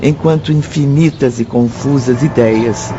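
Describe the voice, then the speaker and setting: A man's voice: medium pitch, a little slow, lo-fi sound, background noise, close to an everyday voice. A man giving solemn, dramatic scripted narration as the narrator of a radio drama. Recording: band-limited, very loud music behind.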